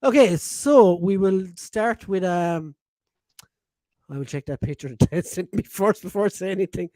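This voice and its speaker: A man's voice: sits high, measured pace, mellow, dry recording. A man in his forties hosting a casual live-stream podcast, reading chat messages aloud, laughing often and swearing freely. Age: 40s.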